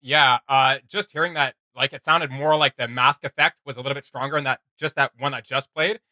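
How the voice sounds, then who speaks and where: A man's voice: medium pitch, steady tone, a little fast, pushed and bright, no room sound. Man, reaction host, casual, rambling, dry close mic. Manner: rambling, many fillers.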